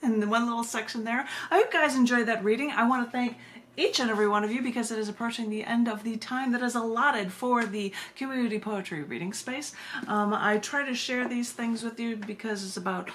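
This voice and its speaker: A woman's voice: fairly high pitch, brisk, a bit bright. An American woman hosting a live online poetry reading, sharing poems and casual asides with her viewers. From United States. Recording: noisy live video stream.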